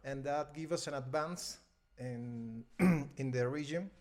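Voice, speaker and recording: A Venezuelan man in his thirties, a native Spanish speaker, talking hesitantly in an interview and often pausing to find his words. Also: mid-range voice, a little mellow. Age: 30s. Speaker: interview guest, film editor. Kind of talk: casual interview conversation.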